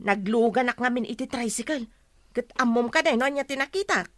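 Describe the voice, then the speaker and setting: A woman's voice: on the high side, moderate pace, a bit bright, no room sound, studio quality, lightly acted. A woman with an Ilocano accent playing a chatty, gossipy villager in a radio comedy drama, with a teasing manner.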